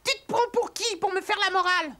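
A woman's voice: extremely high pitch, fairly quick, pushed and bright. A woman voicing a stubborn young male dwarf in a French cartoon dub, with a grumpy, drawling manner. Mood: sulky. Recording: music under the voice.

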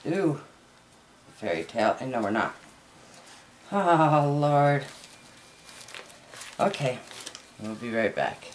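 A middle-aged woman chatting casually through a craft demonstration, low-key and half muttering to herself, recorded in a noisy room at home. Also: expressive, slow pace, pauses often. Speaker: soap maker and host. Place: indoors at a home work table. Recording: noisy room, household background noise.

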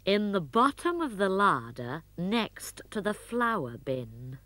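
A motherly puppet mum , as a woman narrator puts her on, patient and explaining. She speaks a little above the narrator's own pitch, slowly. The sound is dry and close. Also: very animated, fairly bright tone, dry recording. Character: motherly furry puppet mum, busy tidying.

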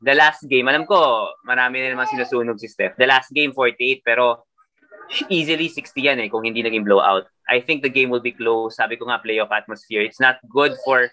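A man's voice: medium pitch, brisk, bright, forceful tone, rough recording. A young Filipino man co-hosting a fantasy basketball show over a video call, chatting casually and mixing Tagalog with English. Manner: switches between Tagalog and English, thinking aloud. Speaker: fantasy basketball podcast co-host. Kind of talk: casual chat.

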